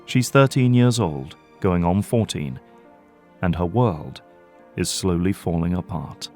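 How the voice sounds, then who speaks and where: A man narrates an audio drama in a scripted, dramatic style. His voice sits fairly low, with faint music underneath. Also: animated, a little slow, a little mellow.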